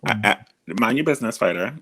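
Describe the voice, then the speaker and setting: A man's voice: medium pitch, expressive, fast talker, sharp tone. A man with a feminine-sounding voice hosting a heated live debate, often cutting in and repeating himself to take the floor. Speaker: host.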